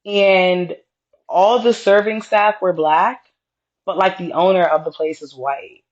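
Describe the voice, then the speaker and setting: Low-voiced woman with a reserved tone. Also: fairly even intonation, takes it slowly, muffled recording. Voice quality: deeper voice. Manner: reserved, straightforward tone. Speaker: podcast co-host. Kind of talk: casual conversation.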